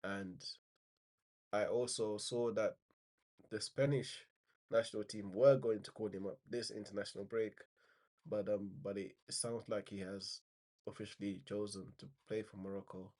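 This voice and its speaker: Low-voiced man with a nasal cold. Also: little pitch movement, normal speed, darkish tone. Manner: rambling, many fillers and restarts, apologetic. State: slight cold, a bit nasal. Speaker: football news vlogger. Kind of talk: casual spoken news roundup.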